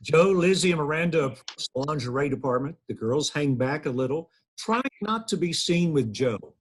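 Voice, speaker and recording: A man's voice: fairly high pitch, expressive, normal speed, breathy edge. A man in his thirties reading scripted stage directions at a table read over a video call. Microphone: computer on video call.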